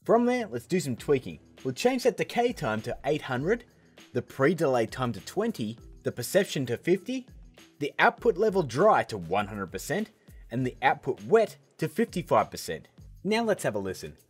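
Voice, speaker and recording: A man hosting a software tutorial, explaining steps in a casual, upbeat way. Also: a little high, sing-song, moderate pace, slightly rough, soft background music. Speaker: video tutorial host.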